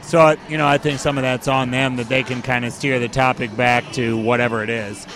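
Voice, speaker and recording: A hoarse man in his forties, a guest telling stories with animated enthusiasm. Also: medium pitch, fairly quick, over music. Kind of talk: casual interview.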